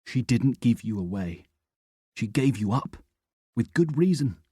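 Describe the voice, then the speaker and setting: Stern, sarcastic dad as a male narrator voices him, lively but dark-toned, close and dry. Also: mid-range voice, unhurried, breathy edge, no room sound, pitched above the performer's own voice. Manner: dry, sarcastic, then softening. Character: guarded, blunt middle-aged British father.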